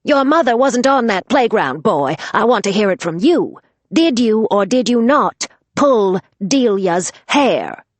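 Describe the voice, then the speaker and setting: A woman's voice: medium pitch, sing-song, normal speed, breathy edge, dry recording, voice pushed up. A woman playing a fierce, scolding grandmother. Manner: scolding, commanding. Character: fierce, sharp-tongued grandmother, older woman.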